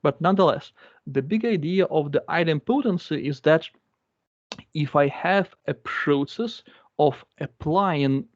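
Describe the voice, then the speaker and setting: A man's voice: a little high, unhurried, no room sound. A man walking through a database demo in English, which is not his first language, talking in a loose, explanatory way with lots of fillers over a computer microphone. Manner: explanatory, repeats phrases, many fillers. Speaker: guest speaker. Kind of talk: live coding demo. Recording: dull lo-fi dry sound.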